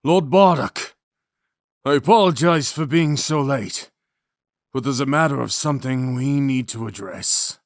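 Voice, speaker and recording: A man's voice: mid-range voice, measured pace, no room sound, natural voice. An actor playing an earnest, troubled soldier reporting to his lord in a fantasy audio drama, respectful and hesitant. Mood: troubled, urgent.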